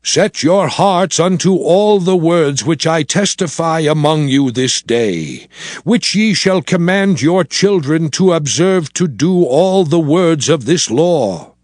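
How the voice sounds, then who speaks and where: Slow, high-pitched old prophet. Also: male voice, lively intonation, a little mellow, no echo, clean studio sound. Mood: earnest exhortation. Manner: solemn, declamatory, slow and deliberate. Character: Moses, solemn old male prophet blessing and exhorting his people. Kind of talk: dramatized scripture reading.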